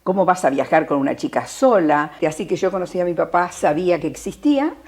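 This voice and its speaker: A woman in her seventies from Italy, recounting her childhood deliberately, at times halting. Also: on the low side, lively intonation, a little mellow. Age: 70s. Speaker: interviewee. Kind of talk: documentary testimony.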